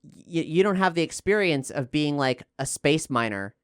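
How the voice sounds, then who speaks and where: A man's voice: on the high side, animated, normal speed, smooth, dry recording, studio quality. A man hosting a casual podcast discussion, explaining things in a chatty, conversational way. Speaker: podcast host and player.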